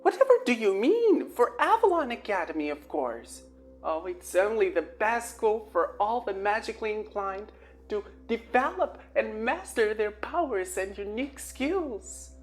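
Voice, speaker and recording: A woman voicing a dramatic, flustered teenage girl witch who talks in a high-strung, theatrical way. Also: big pitch swings, unhurried, faint music behind.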